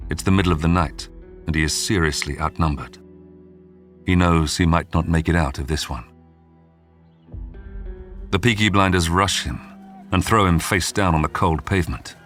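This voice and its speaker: A man narrating a scripted true-crime history documentary in a measured, dramatic storytelling manner. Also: low voice, a bit bright.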